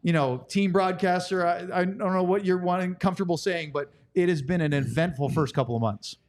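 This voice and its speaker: A man's voice: high voice, brisk. A man hosting a sports podcast, moving between conversational talk and sponsor reads.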